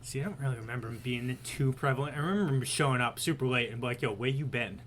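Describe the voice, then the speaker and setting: A man co-hosting a podcast, chatting casually. He is excitable and profane, and his loudness swings a lot. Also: medium pitch, fast talker, slight background noise. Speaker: podcast co-host. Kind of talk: casual chat.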